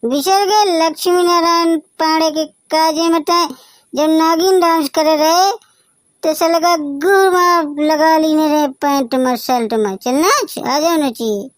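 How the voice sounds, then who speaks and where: A man playing a brash, pushy young reveller in a comic cartoon, with a Bagheli accent and a pitched-up voice. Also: a little slow, no room sound. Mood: boisterous, taunting. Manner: brash, taunting, slangy. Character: rowdy, pushy young man set on wedding dancing.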